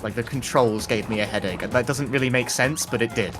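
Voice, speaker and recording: Male gaming co-commentator, average pitch, dry casual delivery over faint music. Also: a little fast.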